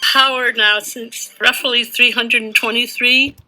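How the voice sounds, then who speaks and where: A woman giving measured, scripted documentary narration. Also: a little high, fairly even intonation, pushed and bright, soft background music, poor audio.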